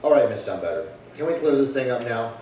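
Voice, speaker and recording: A man playing a calm, dutiful police sergeant in an old-time radio crime drama, speaking in a clipped, matter-of-fact, polite way. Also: mid-range voice, fast talker, close to an everyday voice. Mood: polite, probing. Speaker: actor. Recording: echoey room from far off, faint noise.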